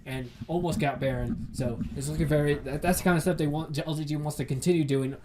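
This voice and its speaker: Raspy young man casting esports play-by-play. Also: a little high, quick pace, a little hiss or hum. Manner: frequent restarts and stumbles, rising to excited shouting in fights. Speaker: esports caster. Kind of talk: solo play-by-play shoutcast.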